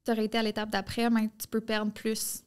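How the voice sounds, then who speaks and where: A woman's voice: a little high, monotone, fairly quick, clean tone. A young woman with a Québécois French accent, chatting as a podcast guest in run-on bursts full of "tu sais". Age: young adult. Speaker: podcast guest, marketing entrepreneur. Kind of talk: casual interview chat.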